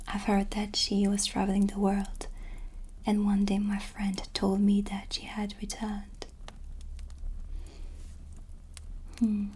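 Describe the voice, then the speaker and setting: A gentle, wistful witch played by a woman whispers very softly and slowly in an ASMR fantasy roleplay. Her pitch stays fairly even, breathy and soothing, with music playing behind her. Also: natural voice. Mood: wistful storytelling. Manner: slow, soothing, unhurried.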